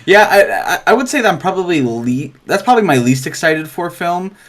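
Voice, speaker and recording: A man's voice: high-pitched, big pitch swings, brisk, a bit bright. Opinionated man chatting on a film podcast. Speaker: podcast co-host. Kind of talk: casual movie discussion.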